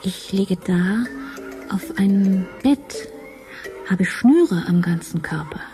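An actress playing a dreamy, devoted woman time traveller in an intimate radio drama, hushed and breathy. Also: medium pitch, lightly acted.

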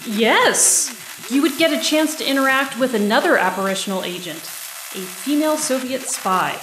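A woman's voice: high voice, expressive, normal speed, crowd noise. A woman playing an earnest government special agent in an audio drama, formal, businesslike and persuasive. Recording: echoey room, faint noise.

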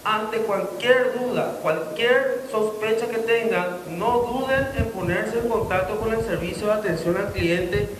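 A man's voice: high voice, unhurried, few pauses. A Paraguayan man in his thirties, a police spokesman, gives a formal, wordy press statement with safety advice in a Paraguayan accent. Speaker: police deputy commissioner, spokesman. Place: indoor conference room. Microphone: handheld microphone held close to mouth. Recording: echoey room, long reverb, background noise.

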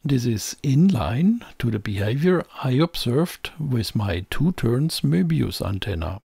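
A man reading scripted technical narration as a tutorial presenter, in a measured, explanatory way. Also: mid-range voice, big pitch swings, gravelly, mellow, breathy edge, no room sound, studio quality. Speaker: presenter of an electronics tutorial channel.

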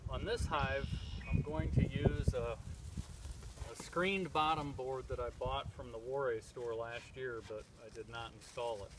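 A man in his thirties explaining step by step as he works outdoors in spring woods, talking in a plain, methodical way with frequent pauses. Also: medium pitch, steady tone, noisy background. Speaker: beekeeper vlogger. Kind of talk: casual demonstration. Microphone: far camera on a tripod. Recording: distant outdoor mic with clear background ambience.

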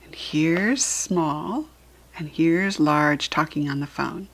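A woman with an American accent, hosting and reading a storybook to young children with deliberate, expressive enunciation. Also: low voice. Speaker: children's storybook reader and host.

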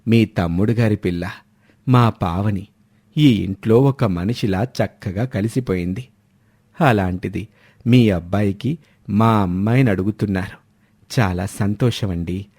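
A man voicing a courteous middle-aged father in a narrated family story, polite and measured. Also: fairly low pitch, darkish tone, breathy edge, voice pushed down. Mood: polite, pleased.

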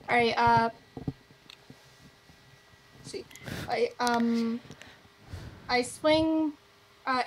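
A woman's voice: a little high, rough recording. A woman playing a tabletop role-play, casually and hesitantly working out her moves aloud. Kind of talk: casual, improvised game talk. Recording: noticeable background noise.

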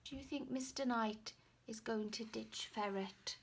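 A woman in her forties reading a picture book aloud to young children, with dramatic pauses and hushed asides. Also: fairly high pitch. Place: small room at home, indoors. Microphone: camera or phone at about arm's length.

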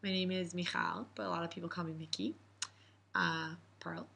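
A woman in her twenties from Israel, a camp coach, calmly telling a story in an interview. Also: lowish voice, moderate pace, gravelly. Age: 20s. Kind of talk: reflective interview answers. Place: indoor room with posters.

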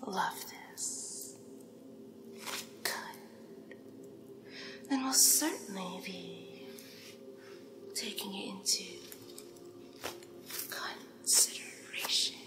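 A woman voicing a flirtatious, doting female devil in a whispered ASMR roleplay, slow and deliberate, playfully commanding. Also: medium pitch, expressive, roomy sound, pitched below the performer's own voice. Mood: hypnotic, insistent. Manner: slow, deliberate whispering with lingering repeated phrases. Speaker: ASMR performer.

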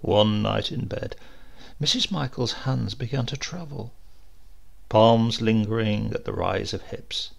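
A man reading a literary supernatural tale aloud in a measured, expressive storytelling manner. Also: on the low side, gravelly. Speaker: story reader.

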